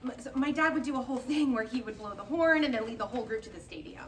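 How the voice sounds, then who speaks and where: An exasperated, sharp-tongued young woman played by an actress with a high voice, reading a comedy script live. She sounds far from the mic in a noisy room. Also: lively intonation, fast. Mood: annoyed.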